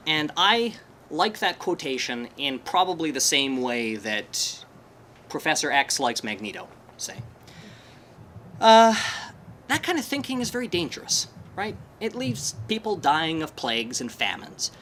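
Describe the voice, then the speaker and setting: A man giving a live talk to an audience, animated and wry, with a self-deprecating humor. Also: fairly high pitch, unhurried, slight background noise. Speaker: presenter at a skeptics' meetup. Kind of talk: conference talk. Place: presentation room, live audience.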